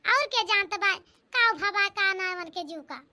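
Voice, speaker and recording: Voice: very high voice, unhurried, sharp tone, full character voice. A performer voicing a chatty, reassuring married village woman in an Awadhi cartoon comedy, with an emphatic, childlike delivery. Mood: earnest, gossipy.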